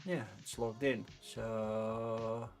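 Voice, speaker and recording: A man's voice: fairly low pitch, steady tone, extremely slow, soft background music. A man with a Dutch accent, a native Dutch speaker, chatting casually and thinking aloud as a livestream host. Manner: rambling, informal, thinking aloud. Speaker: livestream host reviewing board game crowdfunding.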